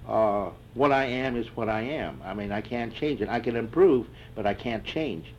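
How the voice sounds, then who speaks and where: An older man in an oral history interview, answering reflectively and haltingly with many fillers and restarts. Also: medium pitch. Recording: dull lo-fi tape with faint hiss.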